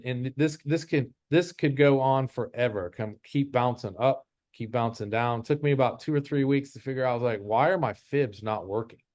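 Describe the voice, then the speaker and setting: A man's voice: mid-range voice, a little mellow, no room sound. Man teaching informally, close dry sound. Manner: explanatory, repeats step-by-step rules. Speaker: trading instructor. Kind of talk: informal chart-based lesson.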